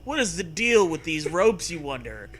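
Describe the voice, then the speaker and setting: A man's voice: on the high side, big pitch swings, normal speed, fairly bright tone. A man co-hosting a comic story reading, narrating in a crude, mock-serious way with chatty commentary. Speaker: co-host, reader.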